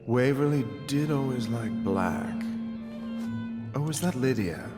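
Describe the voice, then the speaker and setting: An actor playing a drunk, bitter, lecherous aristocrat in a video game cutscene, languid and sneering. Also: male voice, medium pitch, lively intonation, slow, echoey room, big-room sound, over loud music. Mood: lecherous musing.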